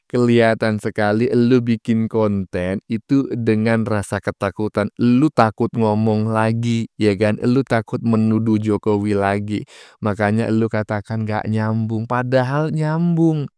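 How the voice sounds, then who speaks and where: A man's voice: on the low side, steady tone, unhurried, mellow, clear, resonant tone, no room sound, clean studio sound. A man giving informal political reaction commentary in a mocking manner, full of rhetorical questions. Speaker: host of a reaction channel.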